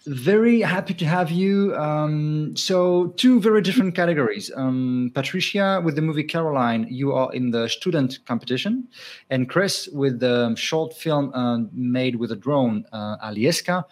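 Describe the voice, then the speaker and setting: A man's voice: on the high side, a little slow. A man hosting an interview for a film festival, welcoming guests and asking questions in an upbeat way.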